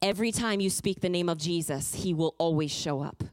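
A woman's voice: on the low side, brisk, a little mellow, no room sound. Fervent woman preaching, dry close sound. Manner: fervent, emphatic, storytelling preaching. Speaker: guest preacher. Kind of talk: sermon with personal testimony.

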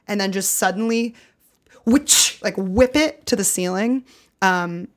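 A young woman co-hosting a podcast, telling stories in an animated way, laughing and swearing casually. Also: mid-range voice, measured pace. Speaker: podcast co-host.

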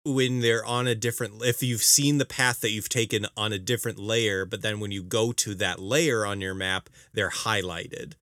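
A man co-hosting a casual video game podcast, talking emphatically with halting explanations and exasperated teasing. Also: on the low side, steady tone, bright, forceful tone, no echo, clean studio sound. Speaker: podcast co-host.

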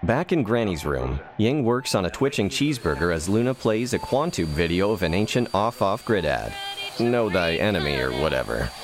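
A man narrates an audio drama from a script and drops in dry asides. His voice is on the low side, with a lively pitch range. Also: moderate pace, crowd noise.

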